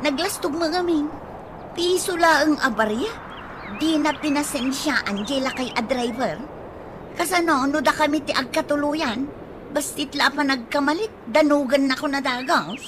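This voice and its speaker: A woman's voice: high voice, unhurried. A woman playing a plain-spoken, chiding young girlfriend in a radio comedy drama, with an Ilocano accent. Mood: disgruntled. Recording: noisy audio with bird sounds.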